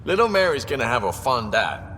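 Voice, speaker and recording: A breezy crewman from a sci-fi game. His delivery is lively and wisecracking, with long reverb and faint ambience behind him. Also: mid-range voice, a little fast, a little hiss or hum. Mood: playful, scheming. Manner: joking, casual. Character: breezy, cocky male spaceship crewman, boyishly cheerful.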